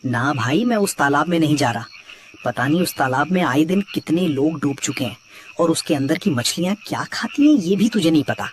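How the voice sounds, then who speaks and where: A man's voice: fairly high pitch, expressive, a little fast, no room sound, lightly acted. A man playing a chatty village man in a cartoon story. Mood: wary. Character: chatty village man at tea stall, wary. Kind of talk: dubbed cartoon story dialogue.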